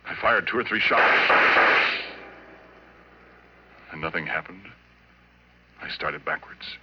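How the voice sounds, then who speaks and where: An actor playing a haunted, plain-spoken American oil-rig worker, telling his horror story in a colloquial, halting way in a 1940s radio drama. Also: low-pitched, pushed and bright, slight background noise. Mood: tense dread. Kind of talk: 1940s radio acting, first-person horror narration. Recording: dull vintage radio recording with music.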